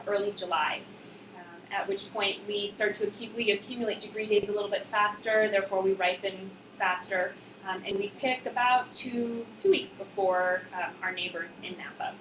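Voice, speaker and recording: An American woman in her thirties giving an informal, explanatory talk as a winemaker at a tasting, pausing often in a hesitant way. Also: mid-range voice. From Boston suburbs, US East Coast. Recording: echoey room, muffled, noisy background.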